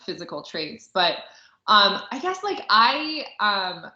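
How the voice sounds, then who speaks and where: A woman with a bright, forward voice chats in a casual podcast style. She is informal and a bit hesitant, circling toward her point. The recording sounds roomy and echoey. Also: mid-range voice, big pitch swings, slow pace. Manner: informal, hesitant, heavy use of "like". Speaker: podcast co-host reviewing a film. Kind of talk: casual conversational discussion. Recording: roomy, echoey, slightly dull lo-fi audio.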